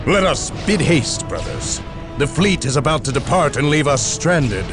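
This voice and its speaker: A man playing a brisk space marine soldier urging his comrades on in a video game cutscene. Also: fairly high pitch, very animated, music in the background. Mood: urgent.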